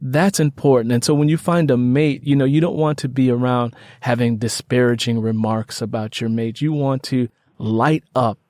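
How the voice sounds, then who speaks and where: A man hosting a conversational interview, talking in a halting, rambling way. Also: mid-range voice, steady tone, darkish tone, no echo, studio quality. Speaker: podcast host.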